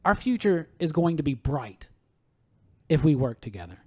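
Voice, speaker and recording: A man's voice: a little high, big pitch swings, normal speed. A man hosting a podcast in casual, irreverent talk, emphatic, repeating words as he goes and swearing freely. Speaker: podcast host.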